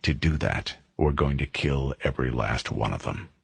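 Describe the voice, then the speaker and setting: A man reading an audiobook, voicing a calm, imposing male armored supersoldier who speaks in a low, clipped way. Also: normal speed, gravelly, husky, no echo, exaggerated voice.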